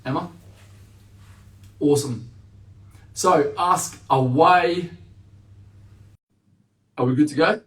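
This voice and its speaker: Man, chatty live-stream host, big voice, booming and upbeat, recorded a way off the mic in an echoey room. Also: slow, pauses often, fairly bright tone, far from the mic. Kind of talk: casual live-stream interview.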